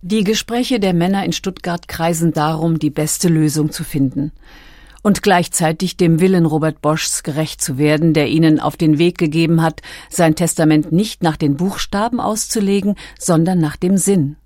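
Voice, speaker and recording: A woman's voice: deep voice, fairly even intonation, normal speed, dark tone, no echo, studio quality. A woman reading scripted documentary narration. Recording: music behind the voice. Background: background music.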